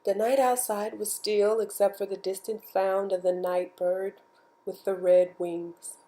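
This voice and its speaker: A woman reading a bedtime story aloud in an expressive, measured storytelling manner. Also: medium pitch. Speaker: storybook reader. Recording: music behind the voice. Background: music.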